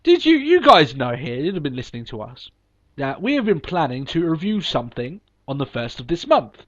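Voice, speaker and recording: A man's voice: on the high side, wildly swooping pitch, brisk, fairly bright tone. A man with a cold, hosting a podcast and chatting casually in an upbeat, rambling way with lots of ums and restarts. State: sniffly from a cold. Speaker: podcast host. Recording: dull lo-fi podcast recording.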